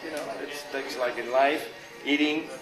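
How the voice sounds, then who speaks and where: A man's voice: fairly high pitch, steady tone, normal speed. A man from France with a French accent, chatting casually as an interviewed musician, often breaking up his phrases with "you know". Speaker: interviewed jazz guitarist. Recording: echoey space with loud music. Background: loud music.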